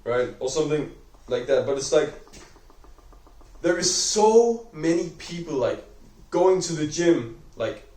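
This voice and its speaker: A young man with a Danish accent talks unscripted to a camera, rambling and filling every pause with "like" while he corrects himself. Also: fairly high pitch, lively intonation, darkish tone, far from the mic. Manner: rambling, heavy use of "like", self-correcting. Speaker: vlogger. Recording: echoey room, voice off-mic.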